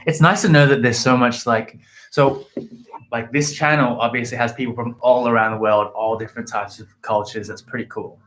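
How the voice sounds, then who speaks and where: Brief: easygoing guy, mid-pitched, talks loosely with lots of "like". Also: normal speed, rough recording. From Australia. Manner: relaxed, chatty, uses "like" a lot. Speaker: guest, entrepreneur. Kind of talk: casual livestream chat. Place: café in Seoul.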